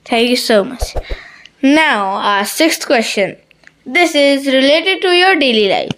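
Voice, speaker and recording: A woman's voice: sits high, animated, slow, fairly bright tone, rough recording. A woman hosting an interview, asking questions politely and formally. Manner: polite, formal, addresses guest as ma'am. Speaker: interviewer and channel host.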